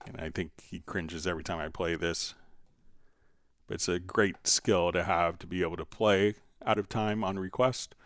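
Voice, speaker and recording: A man hosting a casual live software tutorial, talking haltingly as he thinks aloud while demonstrating. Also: low voice, steady tone, dry recording. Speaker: livestream host, software instructor.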